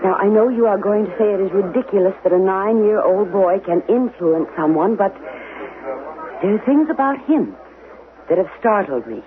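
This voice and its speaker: An actress playing an anxious, upset educator in 1970s radio drama, earnest and halting. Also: female voice, medium pitch, animated, crowd noise, lightly acted. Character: anxious, upset female educator confiding in friend. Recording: phone-like old radio broadcast, background murmur.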